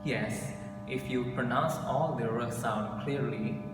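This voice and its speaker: A man's voice: mid-range voice, slow. Young Indonesian-accented man, echoey distant mic. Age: young adult. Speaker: English literature student. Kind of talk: class video presentation. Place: classroom, indoors. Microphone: camera mic from a few meters. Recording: echoey room, distant mic, music underneath.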